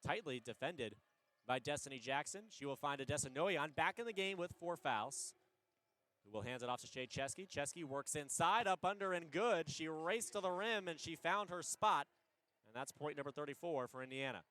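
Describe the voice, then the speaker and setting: A man giving live radio play-by-play of a basketball game in an energetic, descriptive way, lifting his voice on big plays. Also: fairly high pitch, normal speed. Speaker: play-by-play radio sports announcer.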